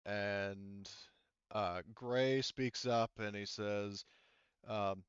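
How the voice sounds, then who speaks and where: Male game master, low smooth voice, halting casual narration, dry lo-fi sound. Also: fairly even intonation, no echo. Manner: halting, rambling explanations, casual swearing.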